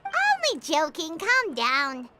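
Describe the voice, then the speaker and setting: A woman's voice: very high voice, wildly swooping pitch, slow, very noisy, exaggerated voice. A woman voicing a small, bubbly, teasing female fairy companion in a game. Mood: chirpy. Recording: game audio with music behind.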